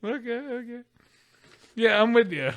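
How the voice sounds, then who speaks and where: A young man in loose podcast banter, joking, swearing, and acting out what people said. His voice sits fairly high and bright and jumps around a lot in pitch. The sound is dry and close, with almost no room. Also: lively intonation, unhurried, dry recording. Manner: loose and joking, swears, acts out quoted lines. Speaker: podcast co-host. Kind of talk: casual chat.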